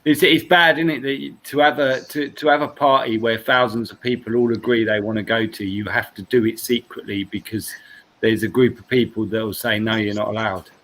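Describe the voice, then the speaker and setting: Man reminiscing with animated sound effects. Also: medium pitch, fairly quick, rough recording. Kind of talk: casual storytelling in group chat.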